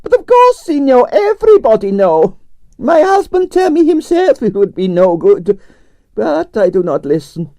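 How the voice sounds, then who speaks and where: A man voicing a flamboyant aging Russian ballerina with a Russian accent and broken English, in a high, swooping, theatrical voice for an audiobook. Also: big pitch swings, moderate pace, dark tone, no echo, heavily acted. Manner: broken English, grand declarations.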